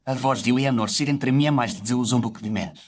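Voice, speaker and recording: An actor playing a reflective gentlemanly doctor who narrates his memoirs in formal, literary first-person storytelling. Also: medium pitch, fast talker, close to an everyday voice.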